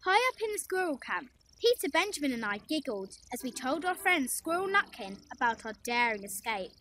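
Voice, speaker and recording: Very high-pitched woman narrating a children's storybook. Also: normal speed, slight background noise, low-quality recording. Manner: expressive, addressing young children. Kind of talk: animated children's scripted narration. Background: music.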